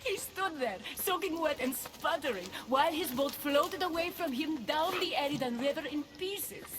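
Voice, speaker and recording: High-voiced haughty goddess queen. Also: expressive, normal speed. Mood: smugly pleased. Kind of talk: video game cutscene acting. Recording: echoing hall with long reverb.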